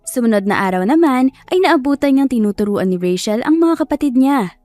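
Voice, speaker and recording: A woman's voice: high voice, expressive, fairly quick, dry recording. A woman narrator reading a scripted love story in a storytelling style. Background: soft music.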